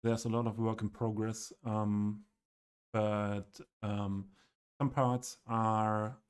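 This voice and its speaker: A man's voice: on the low side, flat delivery, clean tone. A man with a Western German accent, a native German speaker streaming live and talking through his city build, hesitating often and searching for words. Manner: halting, many ums, searching for words. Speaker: game streamer. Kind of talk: casual live stream commentary.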